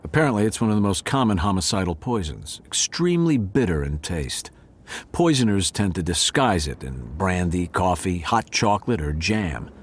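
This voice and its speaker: Audio drama narrator: wry tomcat, lowish voice, lively swings, dramatic noir delivery. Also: male voice, moderate pace, husky, full character voice. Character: male cat narrator, wry, smitten, noir detective-story storyteller.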